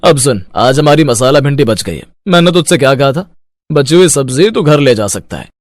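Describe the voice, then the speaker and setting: A man's voice: mid-range voice, expressive, normal speed, no echo, studio quality, natural voice. A man voicing a kindly roadside eatery owner in a cartoon moral story, instructing and encouraging. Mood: generous. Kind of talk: animated moral-story dialogue.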